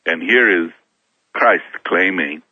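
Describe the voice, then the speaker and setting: Man from Kenya, middle-aged, very deep voice, tinny phone-like sound, dry, hesitant storyteller. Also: husky, no echo. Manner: halting, repeats and restarts words while telling a story. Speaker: guest. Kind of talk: personal testimony in a talk show interview.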